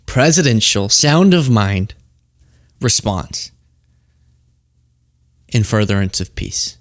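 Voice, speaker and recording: A man's voice: fairly low pitch, animated, takes it slowly, dry recording, studio quality. A man hosting a political commentary show, talking casually and sarcastically, laughing often.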